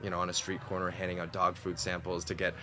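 Brief: man, native Mandarin speaker, very low pitch, bright forceful tone, chatty storyteller. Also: quick pace. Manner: lively storytelling, many likes and you-knows. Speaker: actor guest. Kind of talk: casual interview chat.

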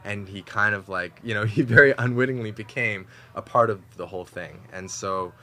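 Brief: man, native Mandarin speaker, very low pitch, bright forceful tone, chatty storyteller. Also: normal speed. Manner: lively storytelling, many likes and you-knows. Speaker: actor guest. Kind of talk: casual interview chat.

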